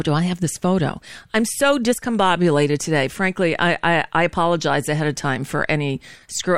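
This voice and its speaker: A woman's voice: lowish voice, fairly bright tone, clean tone, no room sound, studio quality. A woman hosting a talk show, rambling through sardonic political commentary with frequent restarts. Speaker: host. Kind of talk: casual political talk monologue. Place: living in Florida.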